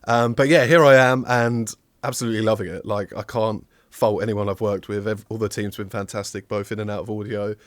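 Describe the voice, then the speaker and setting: A young man with a southern English accent talks casually and upbeat, with plenty of fillers along the way. His voice sits a touch low, and it sounds dry and close with almost no room around it. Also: steady tone, brisk, hardly any pauses, no echo. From England, outside southwest London. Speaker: guest, technical audio designer. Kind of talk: casual interview chat.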